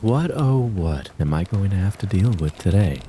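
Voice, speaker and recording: A man voicing a deep, menacing kitsune fox spirit. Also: animated, background noise. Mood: mocking menace. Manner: taunting, theatrical, drawn-out predatory purr. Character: menacing, mocking kitsune fox spirit who hunts humans in the woods. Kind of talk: ASMR roleplay monologue.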